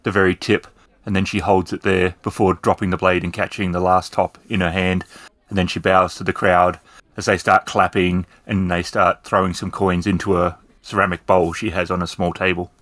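Low-voiced male tabletop game master. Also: level tone, moderate pace, fairly bright tone, no room sound. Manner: descriptive, explanatory. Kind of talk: improvised tabletop game narration.

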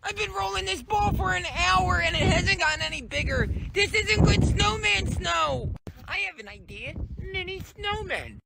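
A man voicing an excitable, whiny little boy who shouts and tattles to his mom. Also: extremely high pitch, normal speed, vocal fry, heavy background noise, pitched above the performer's own voice, exaggerated voice.

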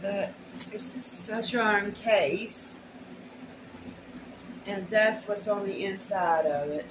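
Slow-talking middle-aged woman, noisy recording. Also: mid-range voice. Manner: folksy, colloquial, unhurried. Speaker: haul vlogger. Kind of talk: casual unboxing chat. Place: small room at home, indoors. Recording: noisy home camera recording.

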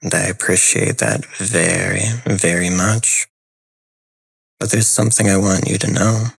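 A man speaking soft ASMR as a hypnotist, hushed and breathy, soothing and deliberate, close to the microphone. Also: deep voice, fairly even intonation, no echo, poor audio. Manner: slow, soothing, deliberate with pauses.

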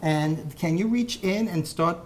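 A man hosting a kids' cooking show in a home kitchen, talking in a halting way with many "uh" fillers. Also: on the high side, slight background noise. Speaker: host.

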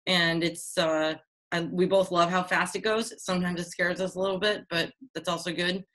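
A woman's voice: on the low side, level tone, moderate pace, fairly bright tone. A young adult woman, an Olympic sailor, chatting casually and encouragingly with youth sailors over a video call. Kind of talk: casual online Q&A.

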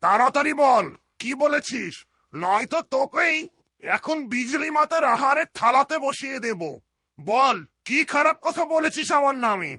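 A man voicing a menacing giant demon hawk in a fairytale cartoon, raspy and piercing, giving threatening commands. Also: very high-pitched, animated, normal speed, pushed and bright, no room sound, clean studio sound, exaggerated voice.

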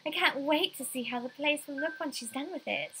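A woman's voice: sits high, brisk, pushed and bright, slight background noise, voice pushed up, low-quality recording. Woman as a poised, condescending rich wife. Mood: sugary. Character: poised, sugary, possessive wealthy wife, Ma'am Hannah.

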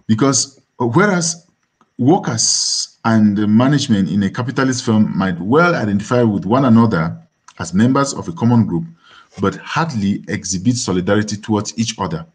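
A man with a Cameroonian accent gives an academic lecture on a video call in a husky voice, deliberate and halting, sometimes repeating words. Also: on the low side, animated. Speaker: academic philosopher, webinar speaker. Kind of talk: academic lecture over slides. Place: dim room indoors, on a video call. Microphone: computer microphone on a video call.

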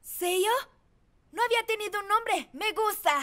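Very high-pitched boastful cartoon owl. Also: female voice, measured pace, exaggerated voice. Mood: joyful. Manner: boastful, theatrical, exclaiming. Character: excitable boastful magical talking owl who raps. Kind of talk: children's cartoon dubbing. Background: loud music.

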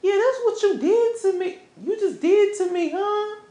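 A woman's voice: sits high, sing-song, a little slow, a little mellow, full character voice. A woman acting an angry, heartbroken woman confronting her cheating lover in a melodrama, stammering and repeating herself. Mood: hurt, defiant. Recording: echoey, roomy sound.

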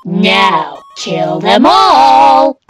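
A woman's voice: medium pitch, big pitch swings, very slow, over music. A woman voicing a blunt, exasperated and menacing child in a comic fan dub. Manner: blunt, incredulous.

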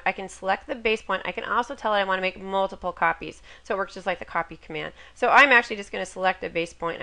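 A middle-aged woman, a little raspy from a cold, hosts a chatty, upbeat software tutorial over a screen recording with a webcam inset. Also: on the low side. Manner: chatty and upbeat, laughs at her own jokes. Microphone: webcam inset over screen capture.

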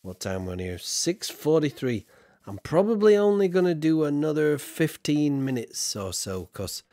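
Tired man streaming with lively pitch. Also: normal speed, no room sound, studio quality. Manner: jokey, mimics accents in short bursts, counts under his breath. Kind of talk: casual live-stream chat while building.

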